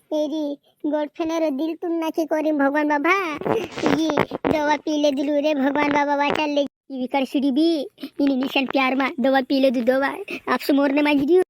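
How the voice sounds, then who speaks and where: A man's voice: very high-pitched, slight background noise, rough recording. A man voicing a distraught rustic villager with a rural Madhya Pradesh accent, pleading repetitively in an amateur cartoon comedy.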